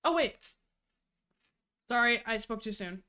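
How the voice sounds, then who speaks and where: A woman's voice: a little high, animated, measured pace, bright, forceful tone. A young adult woman streaming, chatty and profane, who sounds nasal and congested from a cold. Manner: chatty, profane, laughs often. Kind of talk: casual live-stream chat.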